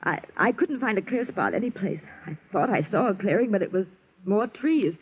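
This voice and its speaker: An actress playing a jealous, murderous wife who flies planes, in a dramatic old-time radio style on a muffled, noisy recording. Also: female voice, medium pitch, lively intonation, husky. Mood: nervous, evasive. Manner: dramatic, halting when questioned. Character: bitter, jealous wife, a murderous amateur pilot.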